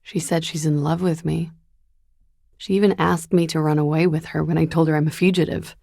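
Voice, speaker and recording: An actress playing a weary, guarded young woman on the run in a video game, speaking in a hesitant, subdued, confessional way with a breathy, gravelly voice. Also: level tone, brisk, warm tone, dry recording, clean studio sound. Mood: wary, confiding. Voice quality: low, breathy, husky and gravelly. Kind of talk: video game character dialogue, intimate and understated.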